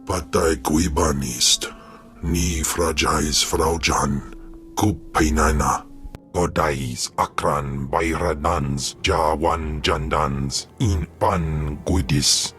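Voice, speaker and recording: Massive grim alien brute for a game, super deep n slow, ponderous, menacing. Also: male voice, fairly bright tone, faint music behind, full character voice. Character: huge brutish male alien monster, grim and menacing. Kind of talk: invented alien speech in a video game.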